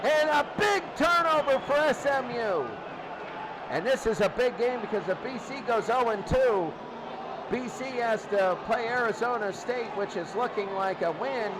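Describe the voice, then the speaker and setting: A man calling football play by play in short, clipped, excitable phrases. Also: very high voice, unhurried. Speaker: play-by-play sports commentator. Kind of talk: live American football commentary. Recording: noisy crowd bed behind commentary.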